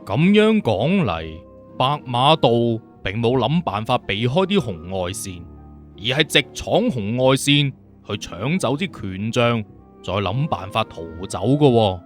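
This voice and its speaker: 一個男人用粵語有聲小說嘅演法，扮演一個自信、直率、咄咄追問又冇耐性嘅刑偵顧問。. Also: male voice, medium pitch, big pitch swings, unhurried, faint music behind. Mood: probing. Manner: assertive, questioning, direct. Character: self-assured, blunt detective consultant, probing and impatient. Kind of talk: Cantonese audiobook character acting.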